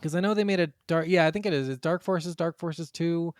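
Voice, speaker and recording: A man's voice: fairly high pitch, fairly quick, clean tone, dry recording. Casual male podcaster, dry close sound. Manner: casual, swearing, rambling explanations. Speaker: podcast co-host. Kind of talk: casual chat about video games.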